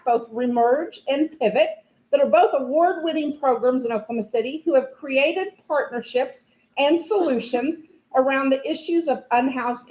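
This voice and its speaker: A woman's voice: high-pitched, darkish tone. A woman moderating a town hall, introducing the next speaker in a chatty, upbeat way. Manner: chatty, laughing easily.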